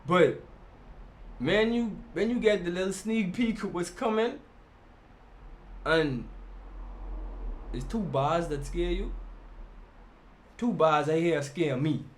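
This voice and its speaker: A man's voice: high-pitched, takes it slowly, pauses often, slight background noise. A man, chatting animatedly as a guest on a casual podcast. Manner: animated, laughs, slips into quoted voices. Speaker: guest battle rapper.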